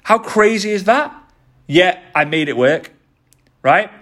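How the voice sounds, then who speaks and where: Energetic motivational man in his thirties. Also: high-pitched, slow pace. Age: 30s. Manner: energetic, repeats phrases for emphasis. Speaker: motivational podcast host. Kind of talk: motivational talk.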